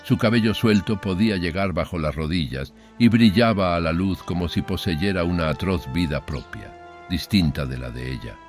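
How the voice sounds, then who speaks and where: A man's voice: sits low, normal speed, darkish tone, soft background music, natural voice. An actor playing a bitter, brooding older father, telling a horror story in a solemn, ominous, literary manner. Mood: brooding, uneasy. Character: brooding, bitter older father recounting his son's marriage. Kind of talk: dramatic horror audiobook narration.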